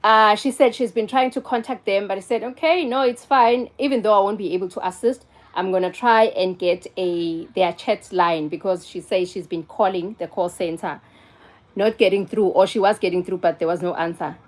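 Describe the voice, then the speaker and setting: South african woman, 40s, just chatting on her live stream, mixing english and zulu, normal mid pitch. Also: normal speed. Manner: chatty, answers viewers and phone calls, switches between English and Zulu. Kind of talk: casual live-stream chat.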